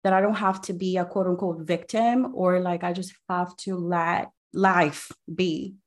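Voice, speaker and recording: A woman from Ecuador, a podcast guest, telling her story in a quick, enthusiastic way with frequent "like". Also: fairly low pitch, fairly even intonation. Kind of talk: conversational interview.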